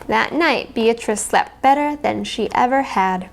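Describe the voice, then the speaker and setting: A woman narrating a children's story aloud in an expressive, carefully enunciated way. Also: on the high side, unhurried, creaky, a little hiss or hum. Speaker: storybook reader.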